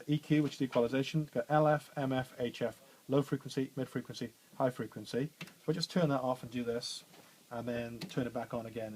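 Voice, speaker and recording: A man with a bassy voice walks trainees through a radio studio desk in a chatty, informal way, full of fillers and restarts. His pitch sits at an ordinary middle height. A faint hiss runs behind him. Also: a little mellow, low-quality recording. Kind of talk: hands-on technical demonstration.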